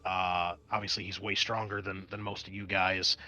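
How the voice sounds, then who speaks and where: A man acts as game master with a sharp, bright voice. He moves between narrating scenes and explaining rules, keeping it conversational and explanatory. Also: low-pitched, little pitch movement, normal speed, highs cut off. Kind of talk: narrating and refereeing a tabletop game.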